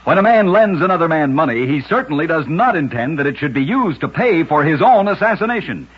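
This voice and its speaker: A man's voice: fairly high pitch, very animated, brisk, slightly rough, dull audio. A man narrating short scripted oddity stories as a radio host, in a dramatic, emphatic 1940s storytelling style, on an old radio recording.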